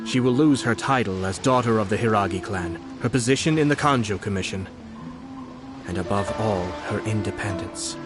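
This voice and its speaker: A man playing a shrewd, unflappable young nobleman in an anime-style game, speaking in a measured, polite, formal way. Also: on the low side, over loud music, close to an everyday voice. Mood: grave, reflective.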